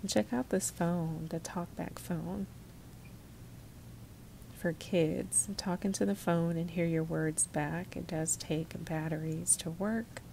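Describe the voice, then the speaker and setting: Female shopping vlogger, low register, casual descriptive delivery, faint background noise of a store. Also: flat delivery, takes it slowly, gravelly, dark tone, rough recording. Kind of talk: casual shop-with-me narration.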